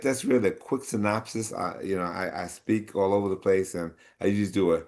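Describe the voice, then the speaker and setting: A man with a deep, low voice tells his own story at a community panel and then hands off to his guests. He talks haltingly, with many pauses, fillers and restarts. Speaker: panel moderator. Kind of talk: personal testimony then moderating a panel.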